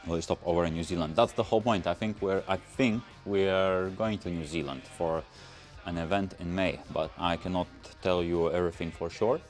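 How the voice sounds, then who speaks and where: A young Latvian man with a very low voice answers viewer questions in loose, rambling English full of restarts. Faint music plays behind him. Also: steady tone, brisk, hardly any pauses. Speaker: car channel host. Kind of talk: casual livestream Q&A reading chat.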